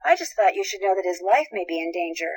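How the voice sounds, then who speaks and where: A woman's voice: fairly low pitch, animated, fast, darkish tone, husky, rough recording. A woman playing a polite, composed young amateur detective in a video game, articulate and probing. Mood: inquisitive, earnest.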